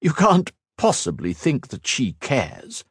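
A man voicing a cold, mocking villainous male sorcerer who taunts slowly and theatrically. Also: mid-range voice, huge pitch swings, breathy edge, dry recording, clean studio sound, pitched above the performer's own voice, full character voice. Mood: taunting.

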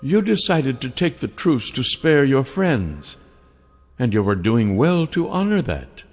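A man's voice: mid-range voice, sing-song, husky, long reverb tail, soft background music, voice pushed up, heavily acted. A male narrator voicing an ancient female dragon in the form of a woman, coaxing and silky. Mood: seductive. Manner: silky, coaxing, slow and drawn out. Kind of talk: audiobook character voice.

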